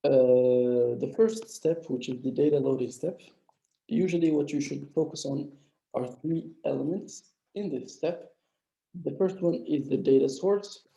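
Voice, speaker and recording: A man's voice: a little high, measured pace, vocal fry, mellow. A man with a mild flu teaching a live online coding lecture, explaining step by step with many filler sounds. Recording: dull lo-fi echoey room.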